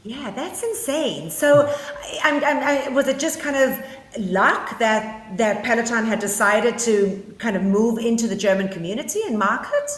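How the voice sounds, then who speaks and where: A woman's voice: fairly high pitch, expressive, a little slow. South African woman, co-host, eager and chatty with lots of fillers, echoey room sound. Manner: enthusiastic, frequent fillers and restarts. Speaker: podcast co-host and interviewer. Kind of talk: casual chat.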